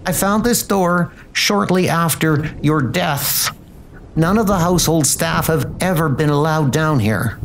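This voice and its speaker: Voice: medium pitch, a little slow, slight background noise, lightly acted. A performer voicing a fussy, plain-spoken elderly woman, a castle housekeeper, in a comic book dub. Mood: astonished, concerned.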